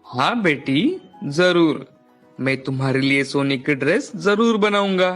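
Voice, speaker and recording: A man's voice: on the high side, lively intonation, a little slow, a bit bright. A man playing a doting, earnest village husband and father in a storybook tale, with music behind. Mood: indulgent.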